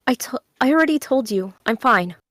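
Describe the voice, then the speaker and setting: A woman's voice: fairly high pitch, expressive, moderate pace, a bit bright, no room sound. A woman in an amateur table read playing a guarded, burdened young woman in a drama scene. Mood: guarded, curt.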